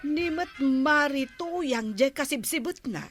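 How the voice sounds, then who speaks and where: A woman's voice: high voice, a little slow, music in the background. A woman with an Ilocano accent playing a nosy, gossipy village neighbour in a radio comedy drama. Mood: curious, amused. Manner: nosy, animated.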